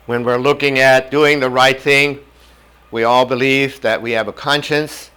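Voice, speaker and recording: An older man from North Dakota whose first language was German, giving a measured lecture on medical ethics in a classroom on a lapel mic. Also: mid-range voice, fairly even intonation, sharp tone, smooth. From North Dakota, USA. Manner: measured, plain-spoken teaching with homely examples. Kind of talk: whiteboard lecture. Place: indoor classroom in front of a whiteboard.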